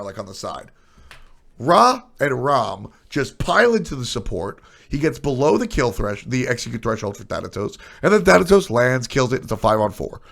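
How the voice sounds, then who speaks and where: A man talking casually and bluntly on a live stream, his voice stuffy and nasal from a cold. There's a gravelly rasp at the bottom of his voice. His pitch moves widely as he throws out opinions and quick asides. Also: fairly bright tone. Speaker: streamer discussing esports.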